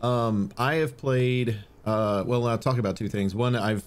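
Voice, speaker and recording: A man's voice: medium pitch, fairly even intonation, measured pace. A man chatting casually and jokingly about video games as an easygoing podcast co-host.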